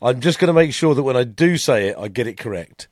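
A man with a slightly croaky, mid-pitched voice that rises and falls a lot as he talks. He chats with a laughing, self-mocking air into a close mic in a dry room. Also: lively intonation, fast talker, a little mellow, no echo. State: slightly croaky voice after a cough. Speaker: podcast co-host. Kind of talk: casual chat.

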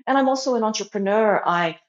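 A woman's voice: medium pitch, fast. A young woman from China, raised in Australia, talking earnestly as an interview guest. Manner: earnest, reflective, with fillers. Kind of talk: casual interview talk. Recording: roomy and dull.

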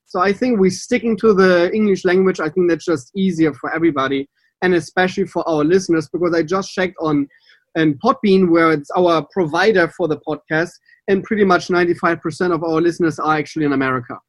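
A man's voice: on the high side, a little fast, dry recording. A man with a German accent, a native German speaker, hosting a podcast in English as a water sommelier, upbeat and chatty. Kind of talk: casual podcast chat.

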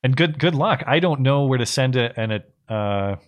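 A man co-hosting a political livestream, reading viewer messages aloud and commenting casually in a measured, halting, conversational way. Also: medium pitch, lively intonation. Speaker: co-host of a political talk livestream.

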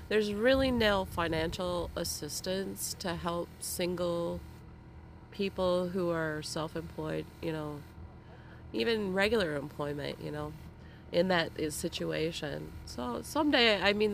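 A woman being interviewed in a vendor tent outdoors at a festival, talking slowly and haltingly with many fillers. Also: lowish voice, creaky. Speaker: interview guest. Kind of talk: casual interview. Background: faint air compressor noise.

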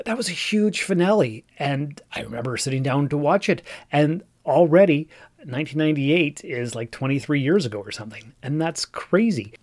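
A man's voice: medium pitch, a little mellow. Rambling man in his forties. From Canada (Northern Ontario). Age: 40s. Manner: rambling, chatty, musing aloud with restarts. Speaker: podcast host. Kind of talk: casual solo podcast monologue.

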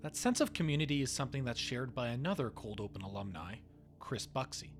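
Animated male podcast host. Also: medium pitch, brisk, faint music behind. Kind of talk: scripted podcast narration.

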